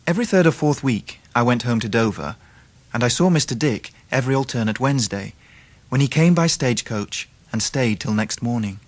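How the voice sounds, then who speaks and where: An actor playing a grown man who narrates his boyhood memories in a radio drama, measured and literary. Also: medium pitch, lively intonation, no room sound, natural voice. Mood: reflective. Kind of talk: scripted first-person narration in radio drama.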